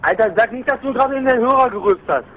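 A man's voice: extremely high pitch, fast talker, dull audio, heavy background noise. A man answering a prank call over a speakerphone, curt and dismissive. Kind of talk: phone conversation.

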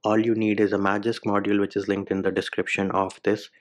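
A man's voice: on the low side, little pitch movement, fairly quick. A man hosting a phone tutorial voice-over, giving informal step-by-step instructions in short clipped phrases. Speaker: tech tutorial video host.

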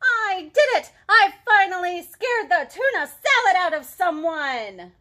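A woman voicing a small, hapless storybook monster who is eager to be scary, exclaiming loudly and boastfully. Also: sits high, very slow, gravelly, pitched above the performer's own voice, full character voice. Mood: gleeful.

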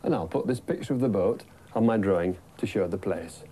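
A middle-aged British man presenting and telling stories to young children in simple, clearly phrased sentences. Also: fairly low pitch, lively intonation, brisk, warm tone. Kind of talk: gentle scripted storytelling to young children.

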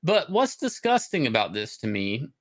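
A man's voice: medium pitch, wildly swooping pitch, normal speed. A middle-aged man hosting a talk show, giving opinionated, casual commentary in a rambling, conversational way. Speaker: host of a comics talk show. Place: indoor room, on a video call.

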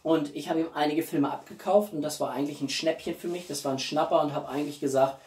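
A man's voice: a little high, fairly even intonation, quick pace, darkish tone. A man, chatting casually and expressively to camera as a video host at home. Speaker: YouTube host. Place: small living room at home, indoors. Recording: roomy echo, distant microphone.

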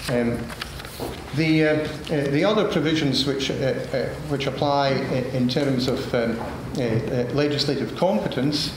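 Older male panelist, slow, halting delivery with lots of ums, recorded from afar in a reverberant hall. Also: mid-range voice. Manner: halting, many filler sounds, measured. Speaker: panel speaker. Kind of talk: policy panel talk. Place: large formal room with audience. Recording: echoey hall, room noise.

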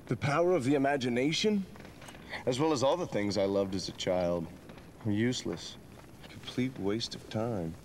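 A man's voice: on the low side, darkish tone, a little hiss or hum. Wistful, romantic young male adventurer. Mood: hurt, reflective. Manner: earnest, reflective, theatrical. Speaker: video game voice actor. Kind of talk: dramatic video game cutscene acting.

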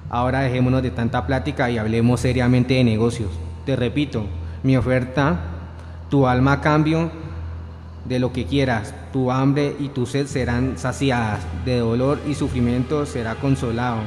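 A young man playing a sly devil disguised as a vagabond in a radio drama, measured, solemn and persuasive. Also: medium pitch, steady tone, darkish tone, slight background noise, close to an everyday voice. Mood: tempting, solemn. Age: young adult. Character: sly devil in guise of vagabond, tempting, grandiose. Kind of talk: student radio drama reading. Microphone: shared handheld microphone. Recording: echoey hall-like room, music behind.

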